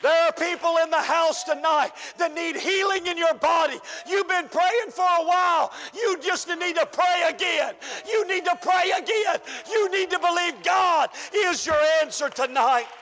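A pastor preaching a fervent sermon, calling out and shouting to his church, and losing his voice. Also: very high voice, normal speed, few pauses, in a large hall, a faint crowd. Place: church hall.

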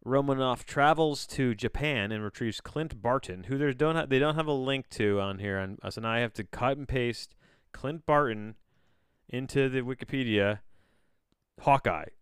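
Rambling, incredulous man with a clean, smooth voice. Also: medium pitch, moderate pace, dry recording, clean studio sound. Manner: rambling, incredulous, sardonic asides; casual swearing. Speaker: podcast host. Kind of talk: casual solo commentary while reading a plot synopsis aloud.

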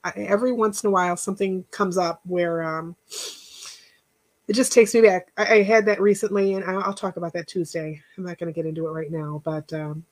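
A woman hosting a casual live chat, rambling and encouraging, thanking viewers by name, with a stuffy nose and a voice that is going hoarse. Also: lowish voice, fairly even intonation, brisk, a little mellow. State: losing her voice. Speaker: podcast host.

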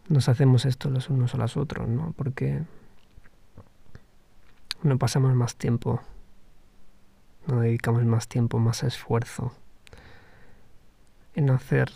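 A man speaks slowly through a personal podcast reflection, often pausing and restarting his sentences. His voice is gravelly and mellow rather than bright. The sound is dry and close, with no room echo. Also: mid-range voice. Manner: hesitant, thoughtful, with frequent restarts. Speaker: podcast host.

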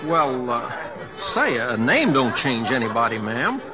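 An actor playing a folksy, humble, teasing young cowboy with a Southwestern American accent and a homespun, hesitant drawl, in old radio drama style. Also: male voice, mid-range voice, big pitch swings, slow, crowd noise. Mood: playful, humble. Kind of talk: 1940s radio acting. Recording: muffled old radio broadcast with music.

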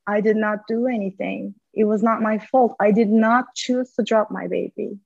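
A woman speaks earnestly about personal matters as a guest, with a gravelly fry in her voice. She often repeats words for emphasis. The recording sounds dry and a little dull. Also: medium pitch, fairly even intonation, normal speed, a little mellow, no room sound. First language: Turkish. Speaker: podcast guest, linguist. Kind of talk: candid personal conversation.

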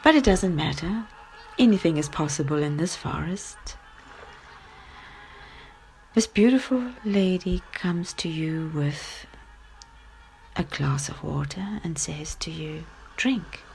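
A woman with a low-pitched, creaky voice guides a relaxing bedtime story. She speaks softly and very slowly, pausing often and slipping toward a whisper. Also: lively intonation, a little hiss or hum, low-quality recording. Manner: gentle, hushed, halting with long pauses. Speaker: narrator of guided sleep story. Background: music.

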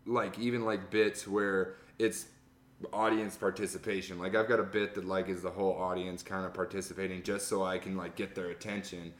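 A man with a loud, fairly low voice trades profane, rambling stand-up stories and laughs often. He talks casually as a guest. The room adds a noticeable echo. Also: little pitch movement, a little fast. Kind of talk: casual podcast chat. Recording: roomy echo.

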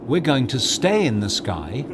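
A man's voice: mid-range voice, measured pace. A man reading scripted narration as a host, giving step-by-step instructions straight to the listener as if guiding them through a flight. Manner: instructive, addresses listener directly. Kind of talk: scripted second-person guided flight narration. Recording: steady aircraft cabin noise behind. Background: aircraft ambience and engine hum.

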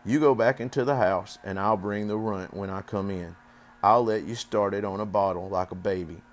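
A gentle farmer father from a storybook, read by a man. His voice sits on the low side. He reasons patiently, kindly but firm. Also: moderate pace, natural voice. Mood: relenting.

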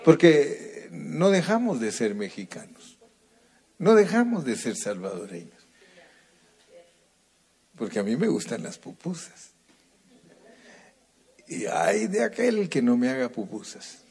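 A man's voice: medium pitch, huge pitch swings, creaky, darkish tone, slightly rough. A man preaching a sermon at a night church service and then leading a fervent prayer. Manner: slow, emphatic preaching, rising to fervent prayer.

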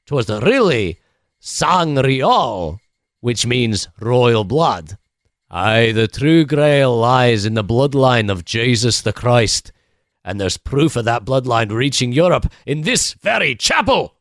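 Radio-play Scottish monk: gruff, boastful, crafty, highly animated delivery, dry studio sound. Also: male voice, takes it slowly, no room sound. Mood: grandly revealing. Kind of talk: 1940s-style radio play acting.

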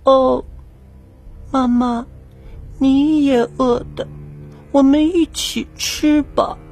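A woman's voice: high-pitched, fairly even intonation, extremely slow, darkish tone, faint music behind, voice pushed up, heavily acted. A woman voicing a small, innocent wolf cub as a clinging young child with a childlike, tearful voice in a radio play. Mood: innocent, trusting.

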